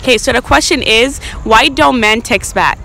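A woman's voice: fairly high pitch, moderate pace, vocal fry, bright, forceful tone. A young woman hosting a casual street interview, asking questions into a hand-held phone. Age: young adult. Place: college campus at night, mostly outdoors. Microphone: phone held in hand between speakers. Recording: noisy outdoor phone recording, urban ambience.

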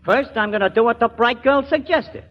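A man's voice: very high voice, moderate pace. An actor playing a cocky, menacing crook in a 1970s radio drama, talking in slangy, sneering wise-guy style. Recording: dull old radio recording.

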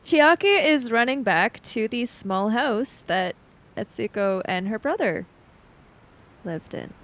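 A woman's voice: fairly high pitch, expressive, slow. A woman playing a tabletop game, improvising narration of her character's actions and thinking aloud. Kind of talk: improvised third-person roleplay narration.

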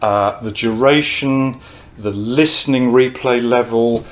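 A man in his sixties gives an informal technical lecture, explaining in a measured way with pauses mid-phrase. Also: medium pitch. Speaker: presenter of technical talks on loudspeakers. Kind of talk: informal whiteboard lecture, reading quotes from notes. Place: indoor room at a whiteboard.

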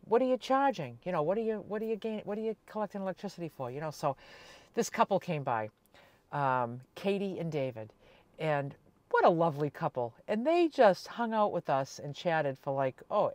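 A woman's voice: medium pitch, darkish tone, dry recording. Chatty older woman vlogger, dry close sound. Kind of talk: casual chat to camera. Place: inside a small camper van.

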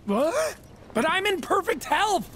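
A young man playing a chubby, eager, anxious rebel sidekick in a video game, flustered and excitable. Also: extremely high pitch, slow pace, a little hiss or hum, exaggerated voice. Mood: protesting. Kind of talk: cinematic video game acting.

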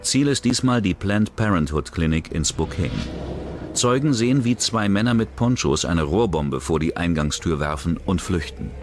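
A man giving a measured, grave scripted narration for a crime documentary. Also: low-pitched, lively intonation. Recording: voice-over over loud music.

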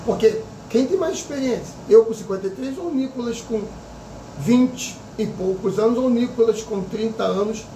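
A man's voice: very high-pitched, moderate pace, mellow, reverberant, distant mic, a little hiss or hum, rough recording. A man in his fifties, a psychic vlogger giving an opinionated casual monologue full of repetition and rhetorical questions. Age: 50s.

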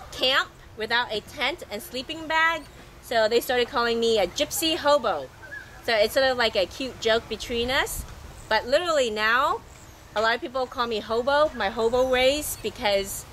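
A middle-aged woman with a scratchy, hoarse voice, rambling casually in a voice-over for her travel vlog. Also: a little high. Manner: rambling, chatty, loud talker. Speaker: vlogger.

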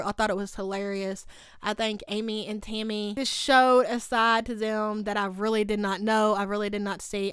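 A woman's voice: mid-range voice, fairly bright tone, clean tone, dry recording, clean studio sound. A woman, recapping a TV show in a casual, gossipy way on her channel. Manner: chatty and gossipy, lots of "like" and "y'all". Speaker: YouTube recap host. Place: home studio. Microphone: desk mic beside her.

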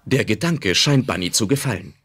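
A man narrates an audio drama in a fairly low voice with lively intonation. Music plays clearly behind his scripted storytelling. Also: moderate pace.

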